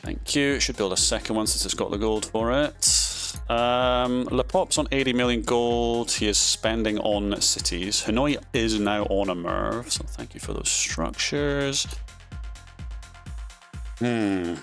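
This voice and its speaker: A man's voice: on the low side, takes it slowly, creaky, a bit bright, faint music behind. A man commentating his own strategy game in a casual style, thinking aloud with frequent ums and restarts and sudden surprised outbursts. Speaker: gaming commentator.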